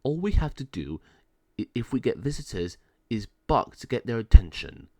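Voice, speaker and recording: A man's voice: mid-range voice, very animated, moderate pace, a little mellow, dry recording, studio quality. A man voicing a wistful, abandoned family dog in a story, speaking earnestly and plaintively. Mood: anxious.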